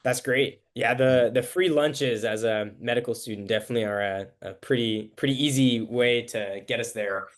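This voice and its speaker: A man's voice: medium pitch, moderate pace, few pauses. A young man interviewing a guest on a podcast in a conversational style, speaking as the host.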